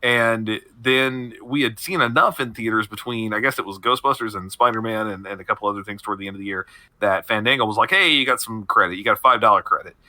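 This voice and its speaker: A man's voice: fairly low pitch, fast, pushed and bright, dry recording. A man co-hosting a podcast, rambling through casual banter and laughing often. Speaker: podcast co-host.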